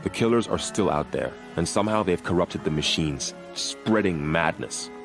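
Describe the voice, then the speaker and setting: Super deep voiced warrior on gate duty, grave and dutiful, game dialogue, bit of music behind. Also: male voice, expressive, vocal fry. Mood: somber, earnest. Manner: measured, earnest, grave. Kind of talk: video game voice acting.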